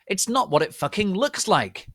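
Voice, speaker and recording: A man voicing a sensitive, angsty teenage goth boy, overwrought and dramatically wounded as he shouts or stammers. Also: sits high, sing-song, moderate pace, dry recording, studio quality, pitched above the performer's own voice, heavily acted. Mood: panicked.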